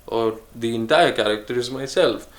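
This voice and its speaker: Earnest male vlogger, mid-pitched, unhurried musing delivery, pauses and self-corrections. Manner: earnest, speaks of choosing calm over harshness. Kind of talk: reflective solo monologue.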